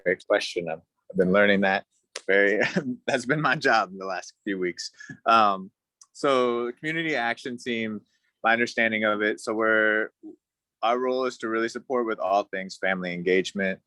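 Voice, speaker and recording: A man's voice: on the low side, normal speed, bright, forceful tone, no room sound. A man from El Salvador who works in family engagement for a school district, explaining his role earnestly on a video call. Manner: friendly, earnest, thinks aloud. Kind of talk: introducing himself at a virtual community meeting.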